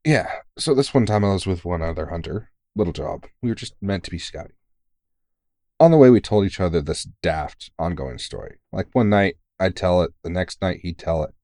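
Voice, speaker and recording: Veteran vampire hunter played by a man for audio roleplay: very deep, close and dry, earnest, sweary, reassuring. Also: lively intonation, normal speed, darkish tone, dry recording. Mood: amused, reminiscing. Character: big, gruff but caring veteran male vampire hunter, mentoring. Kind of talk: intimate audio roleplay monologue.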